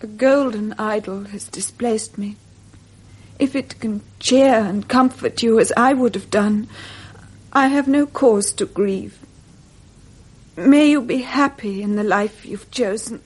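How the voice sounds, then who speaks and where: An actress playing a gentle, sorrowful young woman with an English accent, soft and restrained, in a radio drama. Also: a little high, slow. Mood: sad, resigned. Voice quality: soft, breathy. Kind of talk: 1960s British radio drama.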